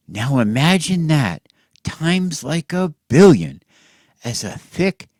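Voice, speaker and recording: A man telling a satirical bedtime story as if to children, in a theatrical, mock-earnest way with drawn-out words and hushed drops. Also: mid-range voice, no echo, studio quality.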